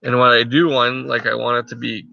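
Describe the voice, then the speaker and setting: A man's voice: medium pitch, flat delivery, brisk, gravelly, dry recording, lo-fi sound. A man whose first language is French, chatting casually and rambling with viewers during a live stream from a tent. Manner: rambling, many fillers and restarts. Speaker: channel host. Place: inside a tent.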